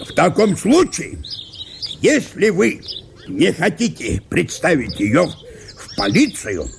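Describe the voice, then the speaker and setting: An actor playing a pompous, officious small-town mayor in a radio play, ingratiating and halting as he tries to coax and make peace. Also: fairly high pitch, vocal fry, slight background noise, exaggerated voice. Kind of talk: dramatised literary monologue for radio.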